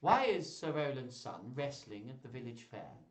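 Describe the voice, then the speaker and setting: A man's voice: mid-range voice, big pitch swings, normal speed, close to an everyday voice. A man voicing a discreet, whiny male courtier in a storybook reading. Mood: inquisitive, murmuring. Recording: roomy echo, distant microphone.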